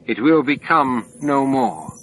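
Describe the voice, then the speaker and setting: A man voicing cryptic alien entities in an audiobook, solemn and insistent, with deliberate, stilted phrasing and pauses between words. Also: mid-range voice, a bit bright, a little hiss or hum, pitched above the performer's own voice, poor audio. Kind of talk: audiobook character dialogue.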